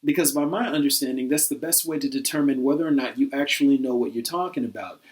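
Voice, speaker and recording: A man playing a patient, reasoning skeptic in a satirical dialogue, putting pointed questions in a measured, articulate way. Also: medium pitch, darkish tone, lightly acted.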